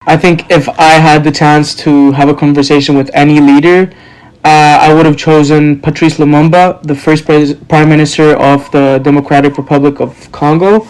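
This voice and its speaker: Smooth-voiced young man, steady delivery. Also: a little high, normal speed, rough recording. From Serbia and Norway. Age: 20s. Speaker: student guest. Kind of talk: interview answers.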